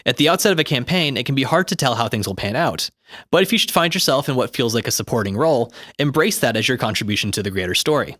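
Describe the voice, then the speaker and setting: A man's voice: medium pitch, expressive, fast, a bit bright, dry recording, clean studio sound. A man reading scripted explanatory narration in an articulate, instructive way, like an audiobook of a guide. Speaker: co-host reading game rulebook commentary.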